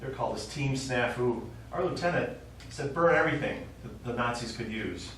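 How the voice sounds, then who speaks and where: An actor playing a stubborn old American war veteran and father, telling his memories haltingly in a stage play. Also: mid-range voice, fairly bright tone, lightly acted. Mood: grim recollection. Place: small theater stage, recorded from the audience. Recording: distant, very echoey theater recording, faint noise.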